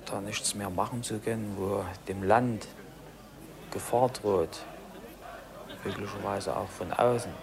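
A man from East Germany reflecting haltingly in an interview, subdued and repeating himself. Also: on the low side, fairly even intonation, crowd noise. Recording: clearly noisy location recording.

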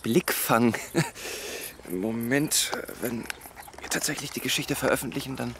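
An actor playing a flustered, politely awkward photographer in a naturalistic radio drama, speaking hesitantly and stumbling over words. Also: male voice, medium pitch, a little slow, noisy background, lightly acted. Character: flustered, awkward male photographer with a hidden agenda.

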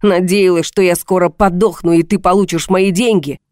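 A woman's voice: medium pitch, moderate pace, warm tone, no room sound, studio quality, lightly acted. A woman voicing a volatile, self-pitying husband in an audiobook drama, agitated, accusing and pleading.

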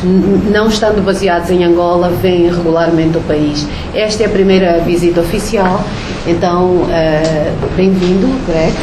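A woman with a fairly low voice, moderating a workshop and introducing a guest. She speaks hesitantly and stops to correct herself. The echoey room carries a good deal of background noise. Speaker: meeting moderator. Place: meeting room.

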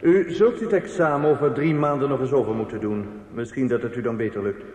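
An actor playing a pompous, condescending middle-aged professor in a Dutch radio play, with formal, haughty phrasing. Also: male voice, medium pitch, fairly quick, darkish tone, close to an everyday voice. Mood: haughty, insinuating. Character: pompous, condescending male university professor, coldly insinuating. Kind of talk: Dutch radio drama acting. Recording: echoey hall with long reverb.

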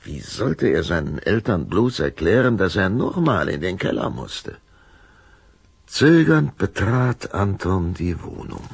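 A man narrating a scripted radio play story in an expressive storytelling manner. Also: sits low, takes it slowly, creaky, slightly rough, no room sound.

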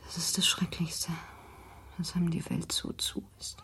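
A woman playing a sorrowful, lonely young gentlewoman in a radio drama, subdued and weary in her delivery. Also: lowish voice, monotone, moderate pace. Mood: resigned, sad. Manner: subdued, breathy half-whisper, weary.

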